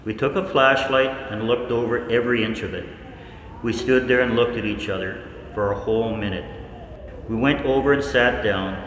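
A man playing a researcher who narrates his own experiment in a 1940s-style radio play, reflective and determined, with deliberate pauses. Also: medium pitch, fairly even intonation, fairly bright tone, close to an everyday voice. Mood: reflective, wondering. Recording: echoey hall, faint background noise.

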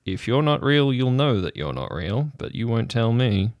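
A man's voice: medium pitch, big pitch swings, normal speed, a little mellow, no echo, lo-fi sound. A man playing a bulky, half-mad, haunted uncle in an improvised audio drama, muttering paranoid, clipped and insistent lines. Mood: agitated, paranoid.

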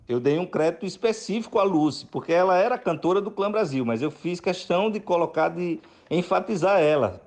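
Male songwriter, lively anecdotal delivery, voice sitting a bit high. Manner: chatty, animated, with warm praise for collaborators. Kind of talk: casual storytelling.

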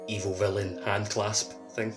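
A man's voice: on the low side, flat delivery, unhurried, music in the background. A man co-hosting a podcast, chatting casually about a video game. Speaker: podcast co-host.

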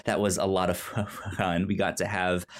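A man hosting a podcast in a casual film review chat, with a halting delivery that often repeats and trips over words. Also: low voice, flat delivery. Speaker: podcast host.

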